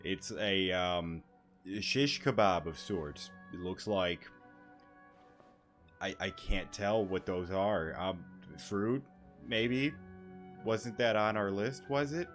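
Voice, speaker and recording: A male gaming YouTuber talks casually over a game in a fairly low voice. He rambles and jokes in a halting way. Faint game music sits behind him. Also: a bit bright. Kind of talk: casual let's-play commentary.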